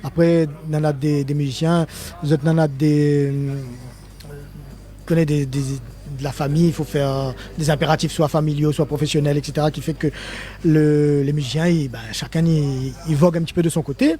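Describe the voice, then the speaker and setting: A man's voice: fairly high pitch, level tone, normal speed, mellow. An older man from Réunion speaking French with a Creole-inflected accent, a musician guest in a casual live interview who rambles, restarts and laughs often.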